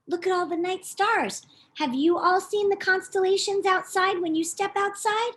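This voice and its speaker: A woman reading a children's book aloud in an expressive, playful storytime style, chatting to young listeners as she goes. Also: very high-pitched, measured pace. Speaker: author reading her own children's book.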